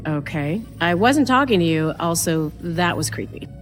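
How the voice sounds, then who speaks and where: Woman as a sardonic newsroom worker, very expressive. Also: lowish voice, normal speed, soft background music, natural voice. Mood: sardonic, muttering. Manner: wry, muttering, casual asides. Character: sardonic, curious female TV news station employee. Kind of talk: naturalistic audio drama acting.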